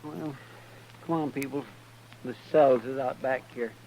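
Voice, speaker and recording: Creaky, folksy frontier deputy, played by a man. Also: mid-range voice, fairly even intonation, measured pace, natural voice. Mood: obliging. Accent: American rural Southern or Western drawl. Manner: folksy, rambling, stammering. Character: loyal, simple, eager-to-please frontier deputy. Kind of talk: 1950s radio western acting. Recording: noisy old radio recording.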